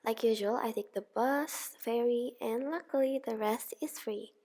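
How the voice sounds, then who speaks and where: A woman's voice: a little high, normal speed, warm tone. A young woman from Indonesia, a student, reading her video journal aloud in a plain, careful way with a few slips. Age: young adult.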